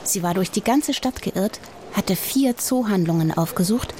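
A woman's voice: mid-range voice, expressive, unhurried, dark tone, a little hiss or hum. A woman reading a children's audiobook as a scripted story narrator.

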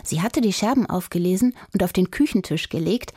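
A woman narrator telling a scripted children's radio play story in an expressive way. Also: on the low side, a little fast, husky, no room sound, clean studio sound.

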